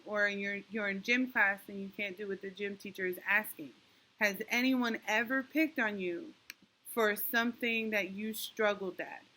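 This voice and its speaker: A woman teaching a young class online and checking often that the children follow, speaking slowly and patiently. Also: medium pitch, sharp tone, rough recording. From New York. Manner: patient, encouraging, talks slowly to kids with many "okay?" checks. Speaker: elementary school teacher.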